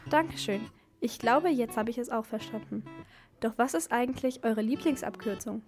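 A woman's voice: a little high, moderate pace, a little mellow, faint music behind. A female radio presenter reading a scripted moderation.

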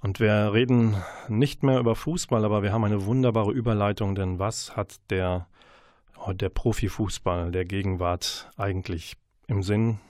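A man presenting book tips on a radio show in a conversational, measured way with some hesitations. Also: on the low side, steady tone, darkish tone, dry recording, clean studio sound.

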